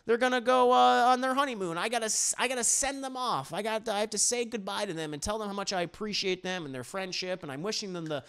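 Man playing sentimental male friend, high-pitched, clear, fretful, earnest, casual story retelling. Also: fast talker, few pauses, a bit bright. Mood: earnest, urgent.

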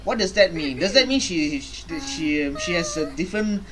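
A man in his twenties giving casual, halting reaction commentary, often repeating his phrases, recorded in a small bedroom. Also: fairly high pitch, expressive, a crowd in the background. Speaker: reaction video host. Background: game trailer music.

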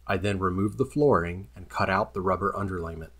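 A middle-aged man explaining a job in a friendly, steady, instructive way, partly on camera in a large indoor gym and partly in voice-over. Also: low-pitched, a little fast. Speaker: flooring company owner.

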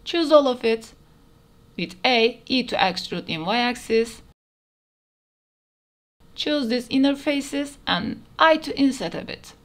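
A woman's voice: on the high side, animated, takes it slowly, long pauses. A woman narrating a step-by-step 3D modeling tutorial in plain, instructional phrasing, recorded as a voice-over. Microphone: voice-over over screen recording.

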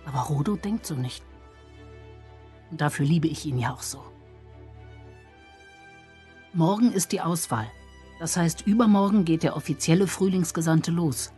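A worried village woman played by an actress confides her thoughts in an audio drama. It is a first-person monologue, with soft music underneath. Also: low voice, lively intonation, moderate pace. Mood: reflective, worried.

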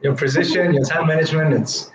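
A man's voice: on the high side, fairly even intonation, fast talker, lo-fi sound. A man joining a live cooking show over a video call, cheerful and full of compliments. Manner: enthusiastic, complimentary, laughs readily. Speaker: guest co-host on the video call. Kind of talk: casual chat on a video call. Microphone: video call, laptop mic. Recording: echoey room over a video call, faint noise.

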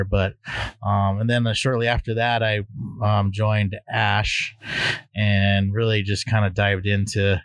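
A man in his forties speaks in a low, flat, even tone, hesitant and full of ums. The voice is dry and close on the mic. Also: clean tone, no echo. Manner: soft-spoken, hesitant with many ums. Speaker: head brewer. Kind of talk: interview answers. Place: brewery floor. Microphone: studio microphone on arm.

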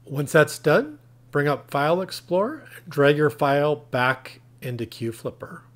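A man's voice: fairly high pitch, expressive, measured pace, noisy background. A man narrating a tech tutorial in a plain, instructional, step-by-step way. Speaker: tutorial presenter.

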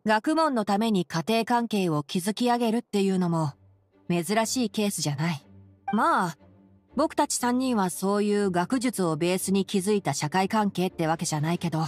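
A woman voicing a clever young male scholar in an anime game, measured and gently dry. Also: mid-range voice, lively intonation, soft background music, lightly acted. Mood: calm, thoughtful. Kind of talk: Japanese anime game voice acting.

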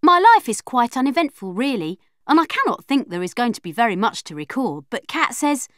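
A woman's voice: fairly high pitch, fairly quick, no room sound, clean studio sound. A woman narrating a children's audiobook in the first person as a chatty, confiding young girl. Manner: chatty, confiding, lively schoolgirl voice.

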